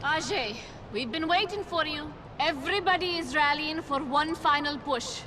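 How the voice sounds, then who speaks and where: Urgent female rebel, actress, game: high, earnest briefing delivery, distant and noisy. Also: normal speed, close to an everyday voice. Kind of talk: video game voice acting. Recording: distant, noisy outdoor ambience.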